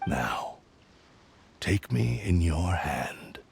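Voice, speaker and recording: An actor voicing a proud, menacing sentient cursed sword in a game cutscene, speaking in a haughty, slow and commanding way with a gravelly voice. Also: male voice, deep voice, very animated, slight background noise, exaggerated voice.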